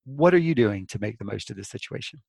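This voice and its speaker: A man's voice: on the low side, animated, fast, gravelly, a little mellow, slightly rough, dry recording, studio quality. A man hosting an interview over a video call, asking friendly, lightly teasing questions. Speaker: podcast host. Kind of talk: casual interview chat.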